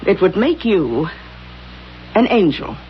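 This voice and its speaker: An actress playing an earnest, pompous middle-aged doctor, declaiming emphatically in a radio comedy-drama. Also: female voice, medium pitch, sing-song, slow, very noisy. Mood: grave, earnest. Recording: dull old radio recording.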